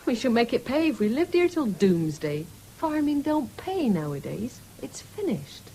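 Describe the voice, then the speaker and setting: Sullen young farm wife, high voice. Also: female voice, very animated, moderate pace. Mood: bitter, fed up. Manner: sullen, complaining, sharp-tongued. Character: bitter, slovenly young farmer's wife, weary mother of two. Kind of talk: 1970s BBC radio play acting. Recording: noisy old radio broadcast recording.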